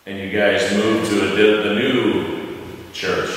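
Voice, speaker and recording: A man in his thirties, a paranormal investigator, slowly calling out questions to spirits in a large empty church, heard from across the room. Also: lowish voice, steady tone. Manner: slow, deliberate questioning. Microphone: handheld device, camera across the room. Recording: echoey church, distant camera, noise.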